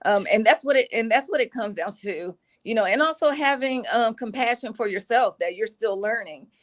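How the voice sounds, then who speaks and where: A middle-aged woman with a raspy voice, speaking earnestly and reflectively as an interview guest, with many fillers. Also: fairly high pitch, expressive, moderate pace. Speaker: guest, executive communication advisor. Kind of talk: conversational interview.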